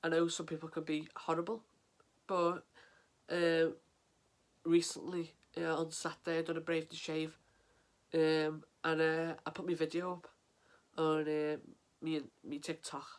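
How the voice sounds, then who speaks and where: An English man vlogging about mental health, talking in an earnest, halting way with lots of fillers and restarts, sniffly and stuffed up from a head cold. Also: fairly high pitch, monotone. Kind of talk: casual to-camera vlog.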